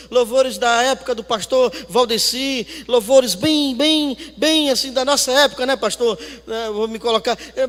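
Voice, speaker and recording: High-pitched male preacher, echoing hall. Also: a bit bright. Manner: emphatic, repeats phrases for emphasis, swings between conversational and declamatory. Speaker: pastor. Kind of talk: sermon. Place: church hall. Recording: echoey hall with long reverb.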